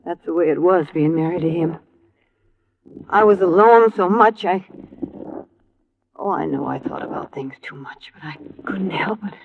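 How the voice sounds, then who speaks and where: A woman acting as a grieving, anxious middle-aged widow in a 1950s American radio drama, speaking in a halting, strained way. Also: on the low side, vocal fry. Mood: anxious, fragile. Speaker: actress in a radio detective series. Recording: dull, faintly noisy vintage radio recording.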